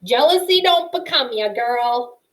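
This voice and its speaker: A brash old porcupine in a storybook reading, as a woman puts him on, scoffing at danger and teasing in slangy talk. The voice sits fairly high and takes its time. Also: expressive, measured pace, a bit bright. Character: gruff old male porcupine, blunt and teasing.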